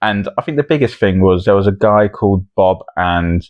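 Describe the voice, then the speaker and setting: A man's voice: low voice, normal speed, highs cut off. A man co-hosting a podcast, chatting casually and commenting on contestants' attempts. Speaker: podcast co-host.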